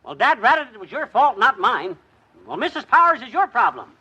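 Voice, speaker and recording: An actor playing a blustery, boastful middle-aged husband in a 1950s radio sitcom. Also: male voice, extremely high pitch, sing-song, pushed and bright, breathy edge, lo-fi sound, full character voice. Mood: flustered. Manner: blustering, quick to bluster at others.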